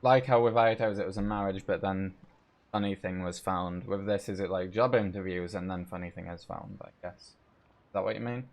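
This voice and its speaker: A man's voice: deep voice, brisk. A man giving casual live gameplay commentary as a streamer, with dry, offhand reactions. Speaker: game streamer. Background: game music.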